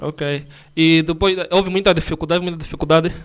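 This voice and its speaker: A man's voice: on the high side, fairly even intonation, normal speed, smooth. A man hosting a sports interview show, friendly, laughing often and using slang. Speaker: news show host interviewing.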